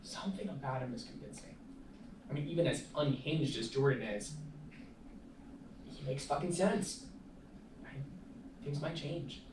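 A young man reading the part of an earnest, hesitant college student at a film table read, speaking in a halting way. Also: fairly high pitch, lightly acted. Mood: reflective. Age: young adult. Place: small meeting room, indoors. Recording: echoey room, distant mic, clear noise.

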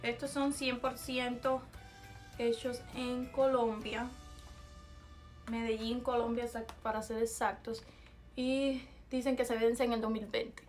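A young woman speaks in a chatty Cuban Spanish accent and sits slightly high in her range. She talks casually to a camera at desk distance in a small bedroom and drops English words in here and there. Soft music plays faintly underneath. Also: little pitch movement, a little slow. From Cuba. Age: young adult. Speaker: vlogger. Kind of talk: casual vlog, taste test.

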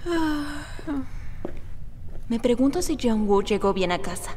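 A woman playing a shy, easily flustered young woman in a Latin American Spanish anime fan dub, with a soft, girlish voice. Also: on the high side, lively intonation, takes it slowly, a little hiss or hum. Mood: wistful.

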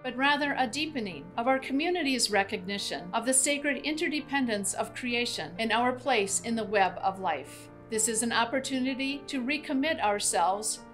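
A woman's voice: a little high, lively intonation, normal speed, hardly any pauses, fairly bright tone. A middle-aged American woman giving a scripted announcement to camera indoors. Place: bright indoor room with large windows. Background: background music.

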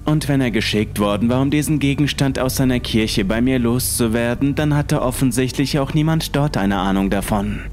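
A man narrating a creepy first-person horror story in a calm, measured way. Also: mid-range voice, a little hiss or hum.

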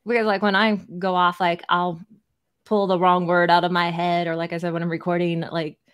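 A woman's voice: lowish voice, level tone, brisk, fairly bright tone, clean tone. Rambling woman co-host, "like" and "you know". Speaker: co-host, podcaster. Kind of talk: casual interview chat. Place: home room. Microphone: desk mic on a video call.

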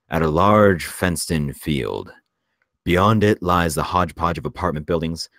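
A man's voice: extremely low pitch, fairly bright tone, no room sound, studio quality. A man reading screenplay stage directions as narrator at a table read.

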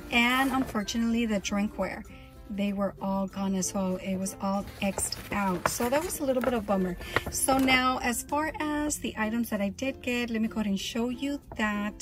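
A woman's voice: a little high, moderate pace, soft background music. A woman chatting casually in a vlog, showing her purchases and gushing, often restarting her sentences.

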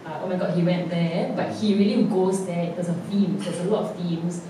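A woman's voice: lowish voice, fairly even intonation, a little fast. A woman, moderating a post-show theatre panel in a casual, questioning way. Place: large theatre stage. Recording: echoey theatre from a distance with noise.

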